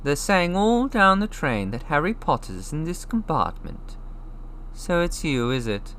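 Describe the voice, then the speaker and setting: A man's voice: a little high, sing-song, unhurried, fairly bright tone, slight background noise, pitched above the performer's own voice. A man voicing a snobbish, sneering young schoolboy wizard with a mocking drawl, reading a storybook. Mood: haughty curiosity.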